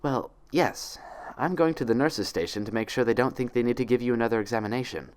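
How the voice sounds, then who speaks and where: A man voicing an older female unicorn nurse in a story, brisk, matter-of-fact and cheerful. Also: mid-range voice, smooth, lightly acted.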